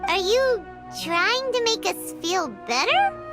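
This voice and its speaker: An actress voicing a tiny, childlike, cheeky fairy companion in a video game with a squeaky, exclamatory delivery. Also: female voice, expressive, slow, heavily acted. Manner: talks of self in third person, exclamatory. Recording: music under the voice.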